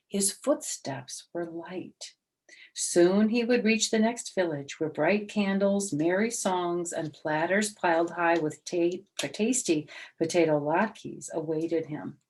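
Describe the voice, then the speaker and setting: Low-voiced woman reading a storybook aloud. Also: takes it slowly, a little mellow. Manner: explains words simply, as to young children. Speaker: storyteller reading a picture book aloud, former school teacher. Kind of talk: read-aloud storytime with chatty asides.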